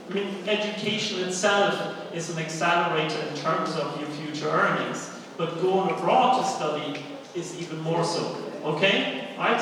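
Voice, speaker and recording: A middle-aged man who grew up speaking German gives a live seminar talk in a fairly high voice. He punctuates his points with rhetorical questions and short check-in tags. He is heard from a distance in a heavily echoing hall with an audience. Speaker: university lecturer and seminar speaker. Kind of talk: live seminar presentation to students. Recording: very echoey hall, distant microphone.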